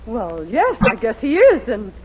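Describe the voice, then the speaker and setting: An actress playing a haughty, scheming matron, theatrical and gushing, in a 1940s radio comedy. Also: female voice, a little high, huge pitch swings, a little slow. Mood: flustered, gushing. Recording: muffled, noisy 1940s radio recording.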